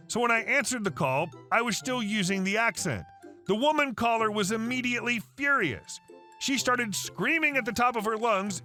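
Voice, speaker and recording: A man reading a first-person story aloud as scripted narration, with a steady read-aloud delivery. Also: high-pitched. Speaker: narrator of online stories. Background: faint background music.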